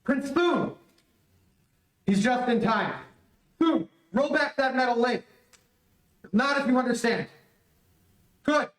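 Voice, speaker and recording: A man's voice: very high voice, slow pace, pauses often, a bit bright, full character voice. A man playing a brave, steadfast space hero in an old-time radio serial, earnest and heroic. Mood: urgent. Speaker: amateur radio play actor. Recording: echoey, distant streamed stage audio.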